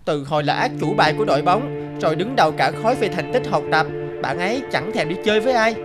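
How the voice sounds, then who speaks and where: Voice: mid-range voice, animated, fast, close to an everyday voice. A performer playing a childish schoolboy, sulky but eager, in cartoon dialogue. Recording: loud music under the voice.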